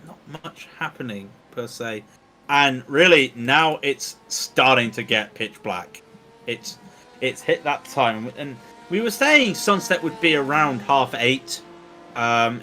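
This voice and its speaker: Bright-voiced man calling a sim race. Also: mid-range voice, slow pace, slight background noise. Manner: chatty, joking asides between race updates. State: dry throat after hours of commentating. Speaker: sim racing commentator. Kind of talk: live race commentary.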